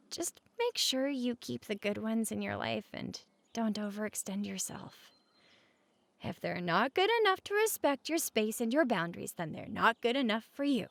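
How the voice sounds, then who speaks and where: A woman playing a caring, teasing roommate in a comfort audio roleplay, speaking casually and candidly with a creaky voice at regular volume. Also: mid-range voice, dry recording. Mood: reassuring.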